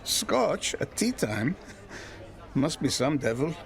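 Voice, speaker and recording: A man's voice: on the high side, sing-song, slow, creaky, exaggerated voice. A man acting a sceptical drinking companion in a radio soap, with a dry, sarcastic delivery.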